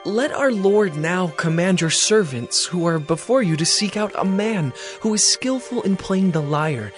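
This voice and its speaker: A man's voice: high-pitched, animated, measured pace. An actor playing a deferential, earnest male servant of a king, speaking formally in a Bible drama. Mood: earnest, advising. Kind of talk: dramatized Bible story acting. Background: music.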